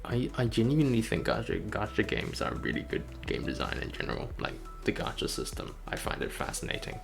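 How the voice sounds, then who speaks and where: A man's voice: lowish voice, big pitch swings, brisk, vocal fry. A man streaming in a casual, rambling way, thinking aloud with hesitant phrasing. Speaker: streamer, game developer. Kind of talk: casual livestream chat. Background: music.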